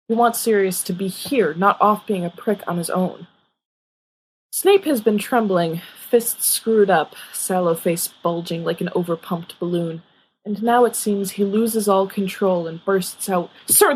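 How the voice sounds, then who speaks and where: A woman's voice: mid-range voice, a little slow, slight background noise, low-quality recording. A woman reading fiction aloud as scripted audiobook narration. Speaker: audiobook reader.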